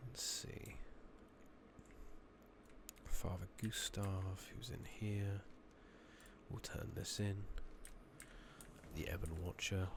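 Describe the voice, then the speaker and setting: Low, soft-spoken man, slow and gravelly. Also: noisy background. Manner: unhurried, murmured, halting musing to himself. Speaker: ASMR gaming streamer. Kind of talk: soft-spoken, whispered ASMR game commentary. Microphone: USB condenser microphone on a tripod with pop filter.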